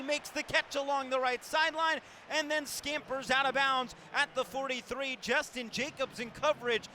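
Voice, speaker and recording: A man calling football play-by-play for radio in clipped, descriptive phrases, projecting his voice like a sports announcer. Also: extremely high pitch. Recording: loud stadium ambience behind the voice. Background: stadium noise.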